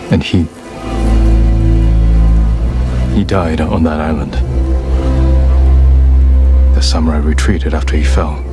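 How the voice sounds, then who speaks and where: An actor playing a grave, determined samurai lord in a cinematic video game, speaking in a solemn, terse way. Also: male voice, very deep voice, fairly even intonation, moderate pace. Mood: somber resolve. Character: grave samurai lord, determined and haunted by his father's death. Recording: loud music behind the voice.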